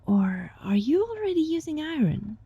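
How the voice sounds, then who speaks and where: A woman playing a gentle, sly young fox-spirit student in an intimate ASMR roleplay, speaking softly and breathily in a coaxing, teasing way. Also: sits high, big pitch swings, moderate pace, no room sound, lo-fi sound. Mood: friendly, relieved. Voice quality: soft, breathy.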